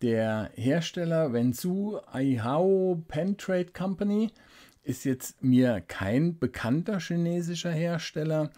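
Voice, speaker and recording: A man with a dark, mellow voice talks through an unboxing at his desk, close to a studio microphone. He thinks aloud at an unhurried pace and drops small fillers as he handles the package. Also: on the high side, animated. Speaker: fountain pen reviewer. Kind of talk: casual unboxing commentary. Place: indoors at a desk, hands-only camera.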